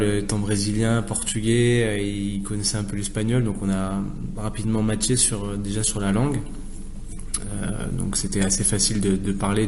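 Young man, lowish pitch, footballer interviewee, casual explanatory answers, faint background noise. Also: darkish tone. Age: young adult. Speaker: professional footballer being interviewed.

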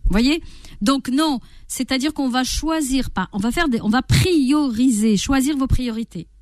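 A woman's voice: high-pitched, unhurried, no echo. A middle-aged woman giving parenting advice as an interview guest, talking expressively and acting out the inner voices of stressed parents. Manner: animated, acts out inner voices.

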